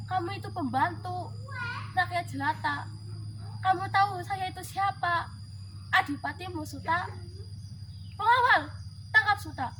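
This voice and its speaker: A woman's voice: extremely high pitch, a little slow, long pauses, bright, forceful tone, voice pushed up, heavily acted. A woman voicing a furious, haughty male regent in a storytelling piece, scolding and commanding with indignation. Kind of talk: dramatic storytelling character voice. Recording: clearly noisy background.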